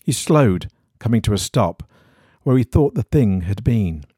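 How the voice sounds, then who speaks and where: Male narrator for eerie fiction: low-lit suspense, raspy edge, very lively pitch, close dry sound. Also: measured pace, no room sound. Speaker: story reader. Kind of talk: scripted narration of an eerie horror story.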